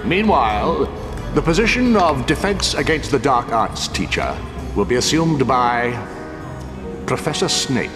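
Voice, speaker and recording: Old headmaster wizard, formal speech in big reverby hall, music behind. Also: male voice, medium pitch, very animated. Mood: solemn, proclaiming. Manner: measured, formal oratory. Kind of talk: video game speech.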